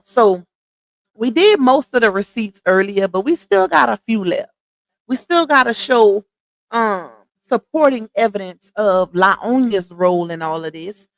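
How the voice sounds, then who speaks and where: A woman hosting a casual livestream, chatting with viewers, greeting them by name and calling them y'all, her voice starting to go hoarse. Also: fairly high pitch, no echo. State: voice going hoarse. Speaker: livestream host.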